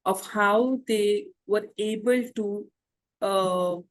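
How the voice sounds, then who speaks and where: A woman's voice: mid-range voice, drawn-out words, rough recording. Hesitant woman interviewer. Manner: hesitant, many fillers and restarts. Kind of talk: interview questions.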